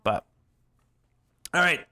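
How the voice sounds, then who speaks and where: A man's voice: slow pace, pushed and bright. A man co-hosting a podcast, chatting casually about video games in a rambling, joking way. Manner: rambling, filler-heavy, joking. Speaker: podcast co-host.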